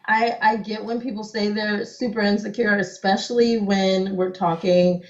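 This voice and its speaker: A woman's voice: mid-range voice, flat delivery, normal speed. Chatty young woman, dull lo-fi sound. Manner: chatty storyteller, laughs often, many "like"s. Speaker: cosplayer guest. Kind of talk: casual interview chat.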